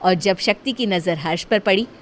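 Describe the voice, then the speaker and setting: A woman narrating a cartoon horror story in a steady, plain storytelling manner. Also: mid-range voice, normal speed, a little hiss or hum.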